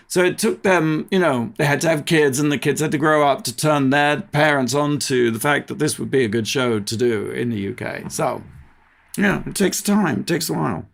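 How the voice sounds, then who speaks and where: A man's voice: on the high side, vocal fry. A man talking casually as a guest in an interview. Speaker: podcast guest, TV production company co-founder.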